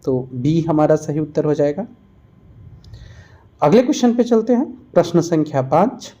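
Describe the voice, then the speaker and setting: A man with a somewhat high-pitched voice and a very mellow, rounded tone. He presents an exam-prep lesson in question-and-answer form. His delivery is explanatory, restating key answers so they stick. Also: moderate pace. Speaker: exam-prep history teacher. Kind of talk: quiz-style lesson narration.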